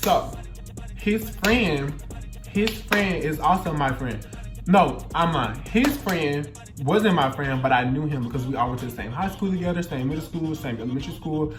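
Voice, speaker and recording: A man's voice: on the high side, animated, normal speed. A man in his twenties with a Louisiana Southern accent tells a story in casual, slangy chat, with a feminine, flamboyant delivery and repeated phrases for emphasis. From Louisiana, United States. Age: 20s. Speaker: YouTube storyteller. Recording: roomy echo, music behind the voice.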